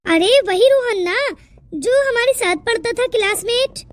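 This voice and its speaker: Woman playing a squeaky, snobbish young cartoon woman. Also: expressive, a little slow, a loud crowd. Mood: smug. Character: smug, snobbish young female office worker. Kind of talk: Hindi cartoon moral-story dialogue. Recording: music behind the dialogue.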